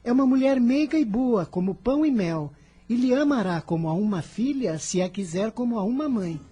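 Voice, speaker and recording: A woman's voice: a little high, moderate pace, breathy edge. A woman with a Brazilian accent playing a kind, solemn, pious young boy in a radio drama. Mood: earnest. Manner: solemn, caring, expressive. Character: earnest, kind, pious boy apostle, gently protective. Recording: music under the voice.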